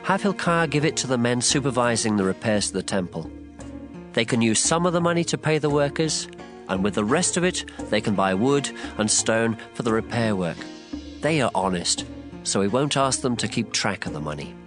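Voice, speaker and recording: A man's voice: mid-range voice, lively intonation, normal speed, close to an everyday voice. A man playing an earnest, commanding young king in a dramatized scripture reading. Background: prominent music.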